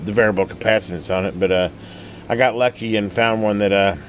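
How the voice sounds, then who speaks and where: A man's voice: on the low side, fast talker. A man giving a casual, rambling workbench demonstration in a folksy, colloquial way, like a hobbyist showing a repair. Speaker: radio repair hobbyist and channel host. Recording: steady background hum and noise.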